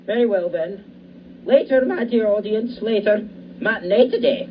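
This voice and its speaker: A man's voice: high-pitched, moderate pace, far from the mic, lightly acted. A man playing Auguste, an unhinged retired stage performer, in an amateur radio play, with a grand showman's flourish. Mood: grandiose. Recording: dull lo-fi recording, faint hiss.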